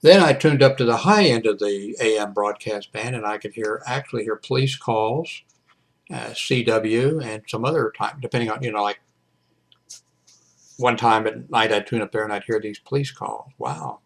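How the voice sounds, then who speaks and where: An older American man with a fairly low voice and a heavy creak in it. He talks off the cuff as a hobbyist video blogger, halting and restarting, often leaving a sentence unfinished as he drifts into the next thought. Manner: digressive, halting, often trailing off mid-sentence. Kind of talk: casual rambling monologue.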